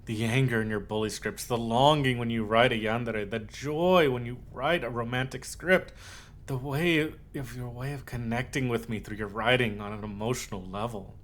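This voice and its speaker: Male actor as lovesick yandere man, medium pitch, intimate ASMR roleplay, doting, earnest, halting, softly possessive. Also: fairly even intonation, natural voice. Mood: tender, earnest.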